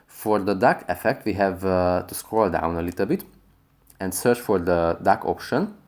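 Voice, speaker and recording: A man's voice: low voice, normal speed, darkish tone. A man narrating an instructional app tutorial as the host of the video.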